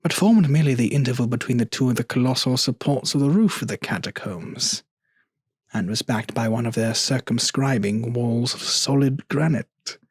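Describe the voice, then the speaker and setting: A man narrating a gothic horror story in a dramatic, deliberate manner with theatrical pauses. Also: medium pitch, breathy edge, no room sound.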